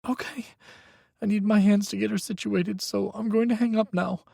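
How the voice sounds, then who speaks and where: A man's voice: high-pitched, fairly even intonation, normal speed, creaky, dark tone, no echo, studio quality, pitched above the performer's own voice, full character voice. A man voicing a timid, frightened young man who sounds tearful and shaky. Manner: trembling, tearful.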